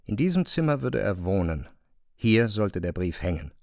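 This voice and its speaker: A man's voice: fairly low pitch, big pitch swings, moderate pace, creaky, a little mellow, no echo. A man narrating a classic novel as a scripted literary reading, close to a studio microphone.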